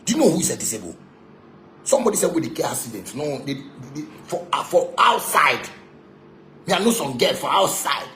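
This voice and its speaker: A man's voice: on the high side, sing-song, brisk, fairly bright tone. A man with a Ghanaian accent delivering an angry, confrontational rant in Pidgin and broken English, repeating himself and throwing insults. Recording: echoey room with background noise.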